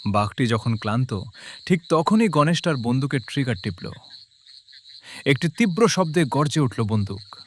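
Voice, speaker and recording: A man's voice: mid-range voice, sing-song, moderate pace, pauses often, slight background noise. A man narrating a scripted cartoon story in a dramatic style.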